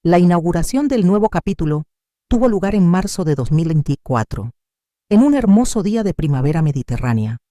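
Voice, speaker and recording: Narrator, sex ambiguous, mid-pitched and deeply mellow; measured, sentimental first-person storytelling. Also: no room sound, clean studio sound.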